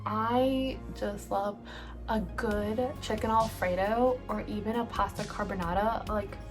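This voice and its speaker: A woman's voice: mid-range voice, fairly even intonation, unhurried, music in the background. A woman in her late twenties, from El Salvador, chatting casually through a get-ready-with-me Q&A, rambling with lots of ums and likes. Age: late 20s. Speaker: beauty vlogger.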